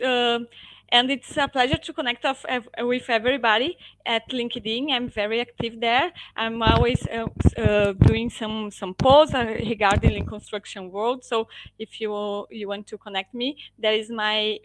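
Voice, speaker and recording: A Brazilian woman with a fairly high voice and a Brazilian Portuguese accent presents to an online audience. She hesitates often, fills gaps with "uh" and rephrases mid-sentence. Her voice sounds close and dry over a video call. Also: fairly even intonation, moderate pace, fairly bright tone, dry recording, poor audio. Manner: hesitant, many fillers and restarts, tag "okay?". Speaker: guest speaker, lean project manager and professor. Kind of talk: webinar presentation.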